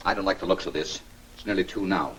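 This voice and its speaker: An actor playing a shrewd, composed gentleman sleuth in a 1940s film serial, speaking with articulate authority. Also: sits low, fast. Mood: grave, assured. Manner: articulate, authoritative, takes charge. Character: shrewd, composed gentleman sleuth-scientist, secret crime fighter. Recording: noisy, distant 1940s film soundtrack.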